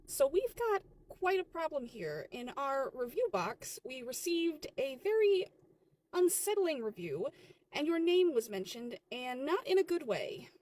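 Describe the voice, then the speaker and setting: A woman playing a stern, condescending female general manager in a comedy skit, officious and measured. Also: sits high, dry recording. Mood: grave, officious.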